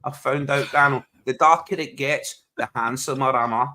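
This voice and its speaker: A man's voice: mid-range voice, fairly even intonation, moderate pace, sharp tone. A Scottish man with a Glasgow accent hosting a casual football podcast livestream, joking and teasing his co-host over a video call. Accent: Glaswegian Scottish. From Scotland. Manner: joking, teasing, calls his friend bro and mate.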